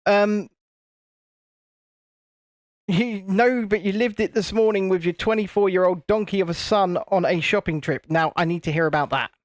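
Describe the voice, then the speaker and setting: A British man with a naturally high-pitched voice, co-hosting a live stream, teasing and swearing in casual banter while reading chat aloud. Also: moderate pace, no echo, studio quality. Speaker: co-host of a live build stream.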